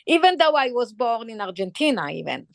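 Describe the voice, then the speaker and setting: A middle-aged woman with an Israeli accent, speaking earnestly and haltingly as a participant on a video call. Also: a little high, sing-song, vocal fry, dry recording, studio quality.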